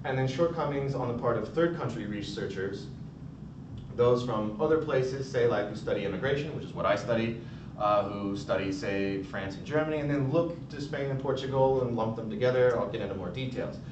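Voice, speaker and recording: A young American man giving a recorded academic conference talk in a casual, chatty way, full of fillers and restarts. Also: brisk. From United States. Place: college room. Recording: distant, echoey, dull, noisy rumble.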